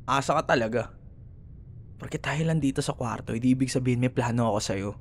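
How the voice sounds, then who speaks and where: A man's voice: mid-range voice, quick pace, slight background noise, natural voice. A young man with a Filipino accent playing a cocky, teasing, easily annoyed college rival in an ASMR roleplay audio. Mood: scornful. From Philippines. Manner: teasing, sarcastic, exasperated.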